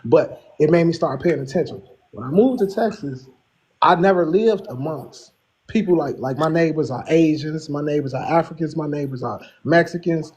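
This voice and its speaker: Earnest guy on a call-in, kinda husky, talks in circles. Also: a little high, moderate pace, a little mellow. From Chicago, USA. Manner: earnest, rambling, restarts often. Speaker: caller. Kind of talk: casual call-in talk.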